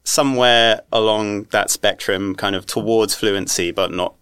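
A young man answers interview questions in a modest, hesitant way, full of ums and uhs. His voice is very low, smooth and resonant. The sound is dry and close. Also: steady tone, a little slow, a bit bright, no echo. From London, England. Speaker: podcast guest.

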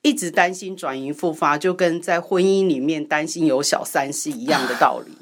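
A woman's voice: deep voice, normal speed. A woman speaking as an interview guest, explaining her writing in a conversational, storytelling way. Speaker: guest, radio drama writer.

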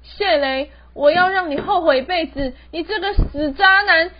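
A woman's voice: extremely high pitch, moderate pace. A teenage girl playing a proud, emotional young city wife who feels wronged, in an amateur radio drama with melodramatic delivery. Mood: furious. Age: teens. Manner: melodramatic, code-switching English words into speech. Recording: muffled lo-fi recording with background music.

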